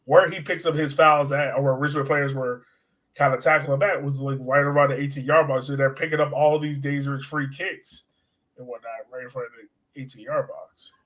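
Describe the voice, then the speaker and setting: A man's voice: mid-range voice, fairly even intonation, fairly quick, fairly bright tone. A man co-hosting a soccer podcast, chatting informally and slangily as he breaks down the game. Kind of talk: casual sports chat and game analysis.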